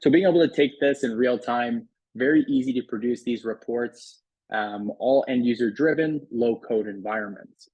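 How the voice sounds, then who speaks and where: A man talks through a software demo in a conversational, explanatory way. His mid-pitched voice is a little husky, and the close recording is very dry. The delivery is casual sales patter. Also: sing-song, moderate pace, dry recording, muffled recording. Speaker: software product demonstrator. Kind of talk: casual product demo walkthrough voice-over.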